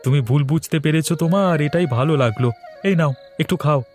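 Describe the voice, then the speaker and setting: Male actor as thrifty young cartoon husband, worried, reproachful, earnest, slightly high voice, music underneath. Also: a little fast, a little mellow, natural voice. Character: frugal, worried young newlywed shopkeeper husband. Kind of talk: Bengali cartoon voice acting.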